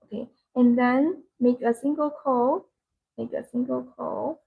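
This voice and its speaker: A woman with a high-pitched, creaky voice gives a live sales demonstration. She speaks English as a second language, in simple phrases that she often repeats. Her tone has a husky edge. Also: steady tone, a little slow, narrow, tinny audio. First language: not English. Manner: repetitive, simple non-native phrasing. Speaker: live-stream sales presenter.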